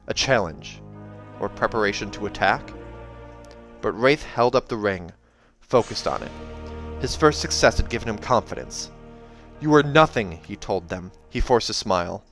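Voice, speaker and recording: A man hosting a read-aloud of a novel, narrating in an expressive, dramatic style. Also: on the low side. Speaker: host reading a novel aloud. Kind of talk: dramatic audiobook narration with casual asides. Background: soundtrack music.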